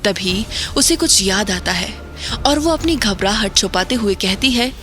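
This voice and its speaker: A woman in a middle register tells a horror audio drama in a steady, measured way and builds suspense line by line. Music plays beneath her voice throughout. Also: medium pitch. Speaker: narrator. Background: background music.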